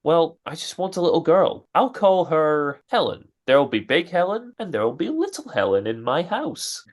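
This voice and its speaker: A man's voice: on the high side, big pitch swings. Guy playing a jittery worried expectant father, stumbles over words, old radio drama style. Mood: anxious, hopeful. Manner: halting, anxious stammer. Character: nervous, fretful expectant father in wartime America. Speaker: amateur radio drama actor.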